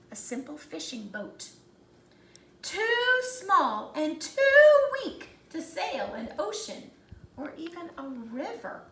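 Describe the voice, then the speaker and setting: A woman narrating a children's storybook aloud with deliberate, dramatic phrasing. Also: high-pitched, creaky, a bit bright. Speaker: storybook reader. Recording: roomy echo, faint background noise.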